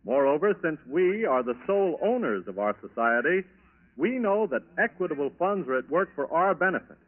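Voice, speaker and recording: A man with an American accent reading a formal, deliberate sponsor announcement in 1940s radio style. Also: a little high, big pitch swings. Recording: tinny, band-limited 1940s radio recording.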